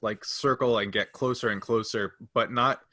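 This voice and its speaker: Man, bright forceful voice, dry close sound, casual explanatory podcast talk, game master. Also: mid-range voice, steady tone, normal speed, dry recording.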